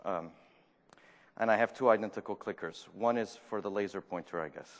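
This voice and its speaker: A man's voice: on the low side, fairly quick. A man with a French accent and Spanish as his first language gives a live conference talk, joking and putting questions to the audience. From Argentina. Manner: self-deprecating, jokey, asks the audience questions. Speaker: conference speaker. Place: large conference room. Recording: reverberant hall.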